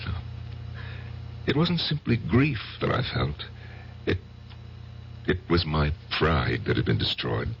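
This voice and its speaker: An actor playing a middle-aged, bitter, reclusive man who confides haltingly and deliberately, in old-time radio drama style. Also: a bass voice, wildly swooping pitch, gravelly, heavily acted. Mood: pained, confessional. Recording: muffled, noisy old radio broadcast.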